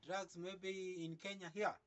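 A man's voice: high voice, level tone, a little slow. Man speaking earnest broken English. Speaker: employee in a comedy sketch. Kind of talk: improvised comic dialogue. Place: outdoor construction yard.

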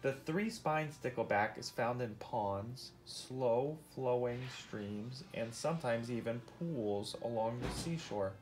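A man's voice: mid-range voice, slow pace, few pauses, slight background noise, poor audio. A man reading a nonfiction picture book aloud to his child, explaining and asking questions as he goes. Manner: explains and asks questions as he reads.

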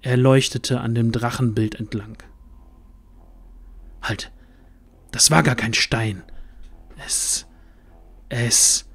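A man narrating a fantasy audio drama in a suspenseful, deliberate way with dramatic pauses. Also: medium pitch, husky.

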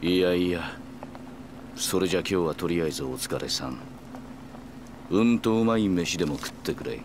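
A man's voice: deep voice, normal speed, background noise, natural voice. A man voicing an older yakuza patriarch in a Japanese video game, composed, authoritative and affable. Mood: composed, cordial.

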